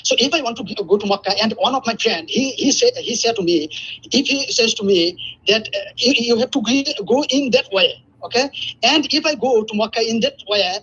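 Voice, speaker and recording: A man from Bangladesh with a Bangladeshi accent, debating as a caller in a halting, repetitive way, pleading to explain himself. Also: very high-pitched, lively intonation, fairly bright tone, a little hiss or hum. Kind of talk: live religious debate over a call. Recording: muffled, lo-fi internet call audio.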